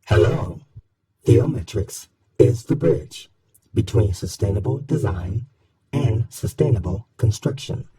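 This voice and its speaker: A man's voice: on the low side, expressive, unhurried, mellow, far from the mic, slight background noise. A man voicing a stereotypical stiff robot presenter in a scripted promo, through a heavy robot filter. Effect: heavily processed robot filter.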